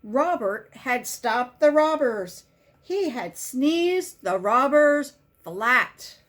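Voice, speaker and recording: Female storybook reader, higher register, takes it very slowly, stresses key words for young listeners. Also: animated. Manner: simple, deliberate storytelling with emphasized key words. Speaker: volunteer children's book reader. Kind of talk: expressive picture-book read-aloud.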